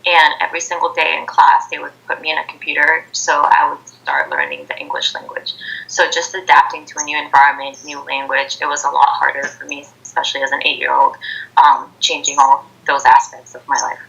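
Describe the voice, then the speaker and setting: A woman's voice: sits low, brisk, creaky, pushed and bright. A twenty-year-old woman from Ecuador, a native Spanish speaker, answering interview questions informally over a dull-sounding internet video call. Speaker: interview guest, college student. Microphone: Skype video call.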